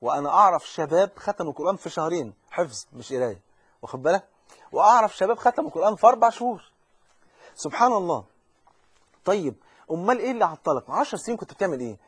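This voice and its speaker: Rapid, husky Egyptian preacher, a man. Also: high voice, very animated, no echo. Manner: fervent, urgent, addresses the listener directly; frequent repetitions and restarts. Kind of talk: religious lesson.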